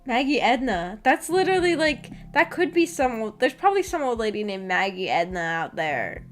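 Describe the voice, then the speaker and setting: A woman with a fairly high voice hosts a casual livestream. She rambles along in a giggly, self-deprecating way as she chats with her viewers. Soft music plays faintly behind her. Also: sing-song, moderate pace. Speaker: VTuber streamer and host.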